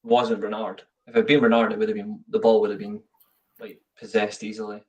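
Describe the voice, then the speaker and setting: A man's voice: lowish voice, level tone, fairly quick, darkish tone, far from the mic, muffled recording. A man co-hosting a football watchalong, bantering and swearing in casual chat. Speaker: watchalong co-host.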